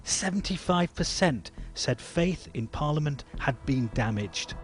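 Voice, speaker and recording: A man's voice: fairly high pitch, expressive, unhurried. Man narrating a news report.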